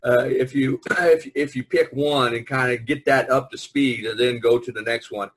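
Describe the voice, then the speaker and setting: A man hosting a podcast in a casual, rambling, easygoing group chat with a husky voice. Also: mid-range voice, fairly even intonation, normal speed, rough recording. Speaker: podcast host. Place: online video conference.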